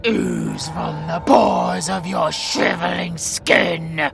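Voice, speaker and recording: A British man playing a sadistic supervillain in an audio roleplay, menacing and gleeful, with a theatrical, taunting, drawn-out delivery. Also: sits high, fairly even intonation, gravelly, full character voice. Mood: predatory relish. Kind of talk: villain audio roleplay, dramatic acting. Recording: music behind the voice.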